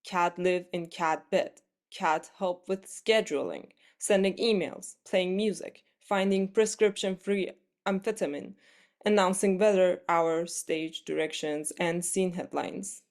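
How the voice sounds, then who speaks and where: A woman's voice: on the low side, steady tone, a little slow, a little mellow. A woman reading the part of a cat assistant with a Sphinx's voice in an absurdist play, in terse, clipped phrases. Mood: matter-of-fact.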